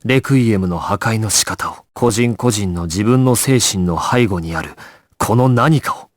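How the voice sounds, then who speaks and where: A man's voice: lowish voice, measured pace, dry recording, clean studio sound, close to an everyday voice. A male actor playing a resolute, composed young gangster squad leader in an anime video game, terse and authoritative in his clipped orders. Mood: grave, composed. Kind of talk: Japanese anime game voice acting, dramatic.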